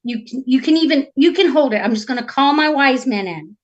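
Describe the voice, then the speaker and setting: A woman voicing a king in a fairy tale, a measured, firm and worried father. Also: sits high, expressive, muffled recording. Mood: coaxing. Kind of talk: live storytelling of a fairy tale.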